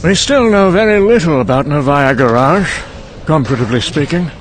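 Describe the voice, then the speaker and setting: A man's voice: fairly high pitch, expressive, brisk, background noise, lightly acted. A man playing a wry, cynical scientist in a dramatic motion comic monologue, musing and condescending. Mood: detached, sardonic. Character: wry, cynical male scientist recounting a doomed secret programme.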